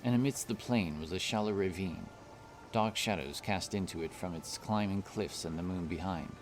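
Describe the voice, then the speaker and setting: A man narrating a scripted fantasy story in a measured, deliberate storytelling manner. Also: low-pitched, faint music behind.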